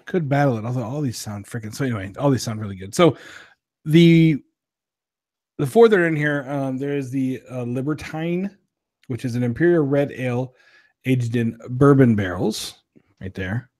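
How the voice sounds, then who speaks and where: A man's voice: mid-range voice, expressive, normal speed, a little mellow, dry recording, clean studio sound. A young man co-hosting a podcast, chatting casually and jokingly as he reviews drinks, with a self-deprecating streak. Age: young adult. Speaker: podcast co-host. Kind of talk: casual beer review chat.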